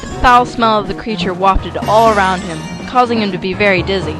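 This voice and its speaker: A woman's voice: medium pitch, brisk, music in the background. A woman reading scripted, dramatic story narration for an amateur audio drama.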